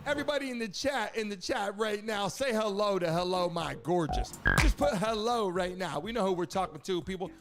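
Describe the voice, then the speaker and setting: A man's voice: high-pitched, animated, a little fast, hardly any pauses. A man hosting a live stream with exuberant, repetitive, slangy hype aimed at his chat. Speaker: live-stream show host. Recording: loud music behind. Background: loud music, game broadcast audio.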